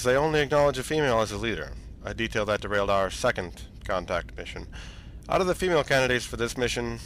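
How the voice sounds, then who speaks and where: A man's voice: mid-range voice, natural voice, low-quality recording. Man playing a commanding, formal fleet admiral. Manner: formal, authoritative, measured briefing. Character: commanding senior space fleet admiral, brisk and matter-of-fact. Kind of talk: scripted science fiction audio drama acting. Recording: steady background ambient noise.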